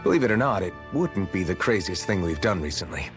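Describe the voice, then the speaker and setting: An actor playing an easygoing, understated young adventurer in a video game cutscene. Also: male voice, low voice, sing-song, brisk, soft background music. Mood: casual.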